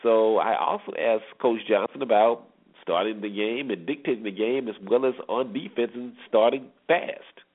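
A man's voice: on the low side, normal speed. An American man hosting a sports show, giving emphatic commentary and repeating phrases for effect, on a dull recording. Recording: dull, lo-fi band-limited sound.

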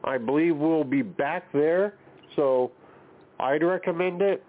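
A man's voice: fairly high pitch, takes it slowly. A man hosting a casual talk show, steadily leading the conversation and questioning a guest. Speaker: podcast host. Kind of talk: casual chat, interviewing. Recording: tinny phone-like line.

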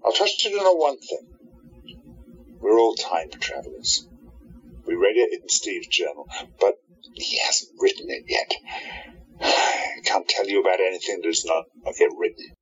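A man's voice: low-pitched, expressive, measured pace, long pauses, fairly bright tone. A man playing a confident time-traveling hero in an amateur audio drama, reassuring and authoritative. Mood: explaining. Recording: dull, muffled lo-fi recording.

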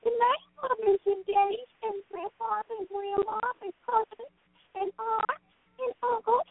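A tiny, very high-pitched cat talks slowly and earnestly, like a sweet child co-hosting a bedtime radio show. The sound is thin and phone-like, with a roomy echo. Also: female voice, steady tone. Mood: gentle, hesitant. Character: Pharaoh, a sweet talking cat co-host.

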